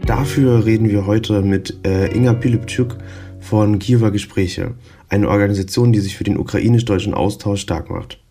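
A man's voice: on the low side, steady tone, moderate pace, mellow, husky, soft background music. A man co-hosting a podcast, reading the intro and then chatting hesitantly with many fillers. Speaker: podcast co-host.

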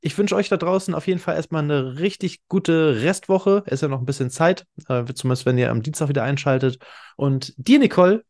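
A man's voice: a little high, fairly quick, darkish tone. A man hosting a podcast in casual chat, talking enthusiastically in colloquial, youthful slang. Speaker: podcast host.

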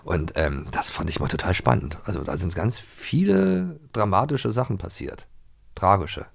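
A middle-aged man from East Germany chatting casually as a podcast co-host, telling stories in a rambling, halting way. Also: low-pitched, big pitch swings, gravelly, no echo, clean studio sound. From East Germany (former GDR). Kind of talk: casual chat.

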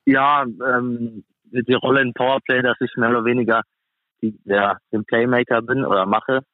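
A man giving casual, halting interview answers as a professional athlete guest. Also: mid-range voice, level tone, no room sound. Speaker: guest, professional ice hockey player. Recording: thin phone-like band-limited sound.

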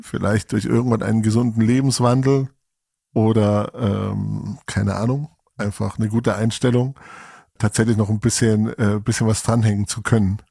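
Man, forties, from Germany, gravelly voice, relaxed reflective podcast chat. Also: lowish voice, moderate pace, no room sound, clean studio sound. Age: 40s. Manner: relaxed, reflective, many fillers. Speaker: podcast co-host. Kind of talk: casual podcast conversation.

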